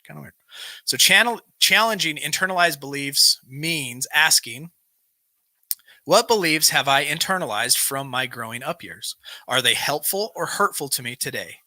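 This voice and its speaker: A man's voice: a little high, animated, moderate pace, sharp tone, no room sound. A man hosting a live recovery show, reading self-help material aloud and breaking off into informal, bantering asides.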